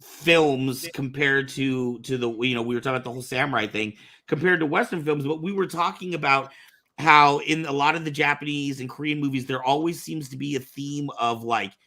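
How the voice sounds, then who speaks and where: A man's voice: medium pitch, a little fast, bright, forceful tone. A man chatting informally as a talk show panelist, rambling a little as he goes. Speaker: podcast panelist. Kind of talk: casual panel chat.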